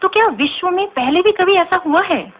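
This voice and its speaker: Woman radio presenter, high-pitched, polite, inquisitive, articulate, radio play, tinny noisy recording. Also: lively intonation, normal speed. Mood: friendly, curious. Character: friendly, curious female radio talk host. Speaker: radio interviewer. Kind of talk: scripted radio drama interview.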